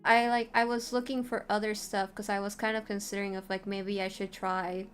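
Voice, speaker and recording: A woman streamer talks through a software tutorial with mid-range pitch. Her delivery is informal and meandering, like a casual livestream walkthrough. Faint music plays behind her voice. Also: level tone, fairly quick, clear, resonant tone.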